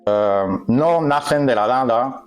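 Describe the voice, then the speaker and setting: Non-native man giving a halting lecture. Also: mid-range voice, clear, resonant tone, soft background music. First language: not Spanish. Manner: halting, searching for words, self-correcting. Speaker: workshop speaker. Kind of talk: live lecture to a group. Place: meeting hall with an audience.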